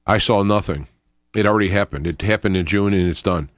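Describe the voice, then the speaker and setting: A man giving informal, rambling video commentary, often halting and correcting himself as an independent show host. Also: low-pitched, no room sound, studio quality. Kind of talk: informal video commentary on his own investigation.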